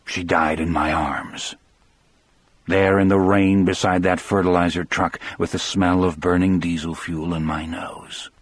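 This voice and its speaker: A man narrating a dramatic first-person audiobook as a grief-stricken elderly former prison guard, in a measured, somber way. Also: deep voice, flat delivery, husky, dry recording. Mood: somber, haunted.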